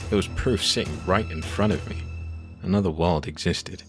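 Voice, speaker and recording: A man playing a weary, shaken occult adventurer, telling his ordeal in deliberate, brooding scripted narration. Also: low voice. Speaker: first-person narrator. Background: music.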